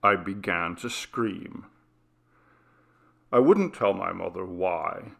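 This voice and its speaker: A man narrating a classic ghost story in a measured, hushed reading style. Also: low voice, lively intonation, gravelly. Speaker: audiobook narrator.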